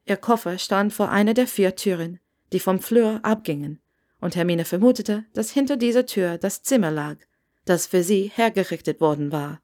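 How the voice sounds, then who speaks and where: A woman's voice: medium pitch, normal speed, darkish tone. A woman reading a scripted story aloud as its narrator. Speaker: narrator reading fan fiction.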